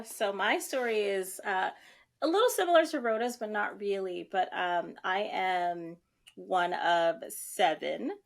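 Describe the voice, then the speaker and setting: Reflective woman educator, hesitant speech. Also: mid-range voice. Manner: reflective, hesitant, laughs easily. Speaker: educator and content creator. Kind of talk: interview.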